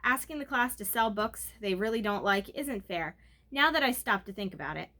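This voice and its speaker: A woman's voice: mid-range voice, animated, moderate pace, bright, forceful tone. A woman voicing a kindly, reasonable female schoolteacher in a storybook reading. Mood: kindly, brisk.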